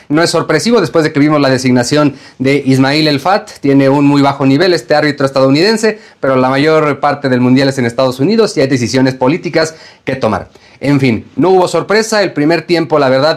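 A male refereeing analyst speaks in a fairly high voice. He delivers a prepared monologue in a formal, critical manner. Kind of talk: prepared sports commentary monologue.

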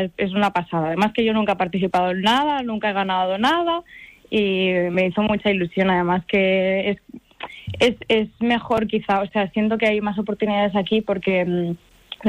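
A woman's voice: medium pitch, moderate pace, dry recording, rough recording. A woman in her twenties from Spain answering radio interview questions, chatty and hesitant, full of fillers and restarts. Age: 20s. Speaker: guest artist.

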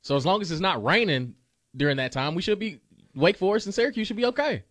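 A man co-hosting a casual sports talk show, lively with quick reactions. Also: sits high, no echo, clean studio sound.

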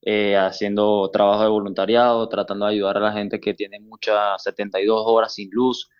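A man's voice: fairly low pitch, level tone, moderate pace, smooth, muffled recording. A Venezuelan man who is tired, a citizen volunteer interviewed on the news. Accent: Venezuelan Spanish. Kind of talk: phone interview.

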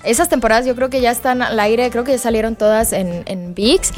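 A woman from Mexico talks about her work in a lively, expressive, chatty way. Her voice sits a little high. Faint music plays behind her. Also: fairly quick. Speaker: actress, guest. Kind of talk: casual chat.

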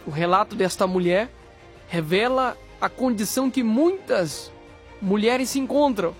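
A Brazilian man preaching an exhortation as a religious host, in solemn, deliberate phrases. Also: high voice, animated. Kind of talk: sermon, exhortation. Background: background music.

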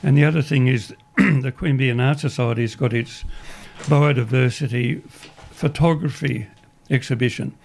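An Australian man with a gravelly, croaky voice runs a community radio show. He rambles through announcements, often restarting his sentences, and sounds upbeat about good news. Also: medium pitch, fairly even intonation, moderate pace, few pauses, a little hiss or hum.

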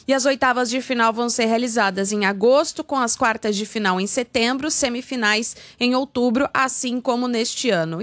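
A woman sports reporter giving a steady news report on the football calendar. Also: fairly high pitch, dry recording.

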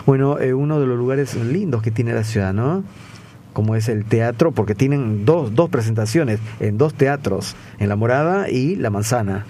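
A man hosts a relaxed interview in a warm, mellow voice. He rambles, pausing often on fillers as he gathers his thoughts. A faint background hum sits under his words. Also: medium pitch. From not from Argentina. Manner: rambling, with many hesitations and fillers. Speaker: radio host interviewing guests. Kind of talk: casual interview chat.

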